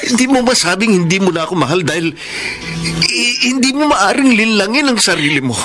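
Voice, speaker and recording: A man's voice: sits high, lively intonation, brisk. A Filipino man playing an insistent, lovesick former boyfriend in a melodramatic radio drama. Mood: insistent, impassioned. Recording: loud music behind the voice. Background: loud music.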